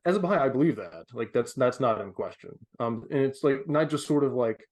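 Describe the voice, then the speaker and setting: A man's voice: medium pitch, lively intonation, brisk, a little mellow. A young man talking earnestly as a podcast guest, emphatic and full of filler words. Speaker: guest contributor. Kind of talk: conversational explanation of faith.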